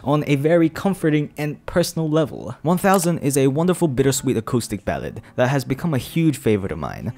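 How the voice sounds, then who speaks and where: Animated young man reviewing music. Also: mid-range voice, brisk, creaky, hardly any pauses. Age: young adult. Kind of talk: scripted album review commentary. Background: music playing under the voice.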